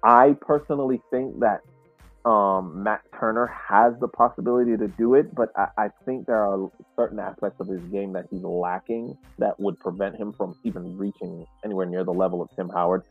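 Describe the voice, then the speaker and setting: An American man co-hosting a sports podcast, giving casual, measured analysis point by point over thin, phone-like audio. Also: fairly low pitch, creaky, slightly rough, no room sound, soft background music.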